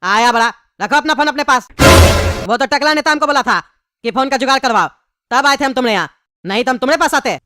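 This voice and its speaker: Woman acting a high, rapid-fire brash cartoon schemer. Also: background noise, natural voice. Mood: scornful. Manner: blunt, demanding.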